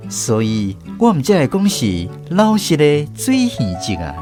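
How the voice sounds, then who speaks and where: A man's voice: a little high, wildly swooping pitch, unhurried, over music. A man narrating a village story for a radio drama in a storytelling manner.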